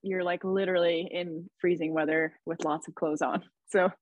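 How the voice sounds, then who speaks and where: A woman's voice: on the low side, level tone, moderate pace, no room sound. Chatty upbeat woman on dull remote audio. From Idaho, USA. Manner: chatty, upbeat, laughs often. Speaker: podcast guest. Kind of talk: casual conversation.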